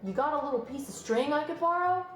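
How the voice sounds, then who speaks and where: A woman's voice: sits high, quick pace, pitched above the performer's own voice. A woman voicing a naive, eager, boastful young pig in a children's storybook read-aloud. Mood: excited, cheerful. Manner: earnest and excitable. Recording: roomy sound, music behind.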